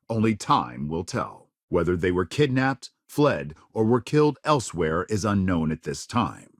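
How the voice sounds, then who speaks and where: A man playing a formal, authoritative news anchor in a science fiction game, delivering scripted reports with measured, dramatic emphasis. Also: on the low side, no room sound, clean studio sound. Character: polished, authoritative male news anchor of a spacefaring future. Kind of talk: scripted news broadcast narration.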